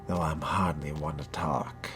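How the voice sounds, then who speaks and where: A man playing a suave, wry vampire fledgling in a video game roleplay, speaking in a measured, genteel way with dry amusement. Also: rumbling low, steady tone. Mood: smooth, wry. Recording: music behind the voice.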